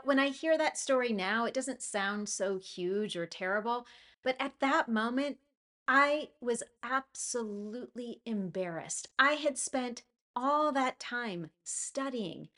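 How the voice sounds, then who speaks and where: Bright-voiced woman teaching English. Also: fairly high pitch, animated, takes it slowly. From United States. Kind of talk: scripted lesson monologue.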